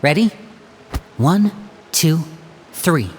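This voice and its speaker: A man voicing a charming, theatrical young elder brother in an anime game, smooth-talking and showy, over music. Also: fairly high pitch, expressive, drawn-out words, a little mellow, a little hiss or hum. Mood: conspiratorial.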